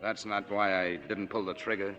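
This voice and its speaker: An actor playing a weary, disillusioned war veteran, dry and articulate, in a 1940s radio drama. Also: male voice, lowish voice, flat delivery, moderate pace, bright, forceful tone. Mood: bitter resignation. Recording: dull old 1940s radio recording.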